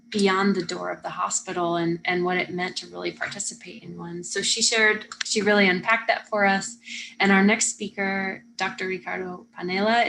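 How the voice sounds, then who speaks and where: A woman's voice: mid-range voice, a bit bright, a little hiss or hum, rough recording. A woman hosting a live science event, speaking as a moderator who introduces speakers.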